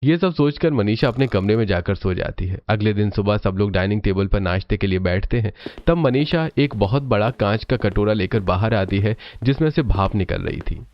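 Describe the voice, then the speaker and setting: Male storybook narrator for cartoons, lower register, slightly dull studio sound. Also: lively intonation, normal speed, hardly any pauses.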